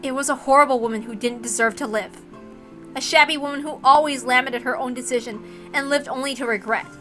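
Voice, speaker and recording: A woman's voice: high-pitched, fairly even intonation, fairly quick, bright, forceful tone, soft background music. A woman voicing a blunt, passionate young heiress, defiant and emphatic, speaking in slangy lines as in a visual novel. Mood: anguished resolve.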